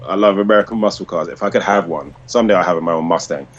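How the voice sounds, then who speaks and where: A man's voice: deep voice, very fast, muffled recording. A man co-hosting a podcast in casual, slangy chat with some swearing. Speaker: podcast co-host. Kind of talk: casual chat about comic book movies.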